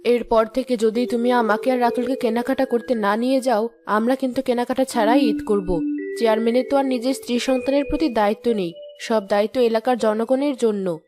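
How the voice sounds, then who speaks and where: A woman's voice: on the high side, steady tone, fairly quick, music in the background, lightly acted. A woman playing a demanding, nagging village chairman's wife in a moral-story cartoon, with an insistent, complaining manner. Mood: reproachful.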